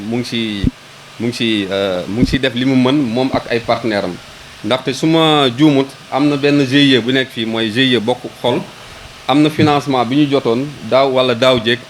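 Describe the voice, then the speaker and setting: A man's voice: medium pitch, normal speed, slight background noise, poor audio. A man with a Senegalese accent speaking as an official at a public ceremony, hesitant, often repeating words as he searches for the next one. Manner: hesitant, repeating words, switching between Wolof and French. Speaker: local government official.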